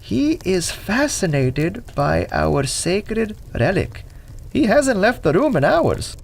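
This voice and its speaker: A man playing a courteous but guarded head monk in an adventure audio drama, speaking in a formal, measured, serene way. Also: high voice, very animated, vocal fry, full character voice.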